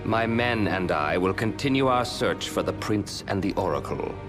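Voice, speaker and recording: Low, proud military commander. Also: male voice, lively intonation, normal speed, music in the background. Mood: curt, proud. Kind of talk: video game cutscene acting.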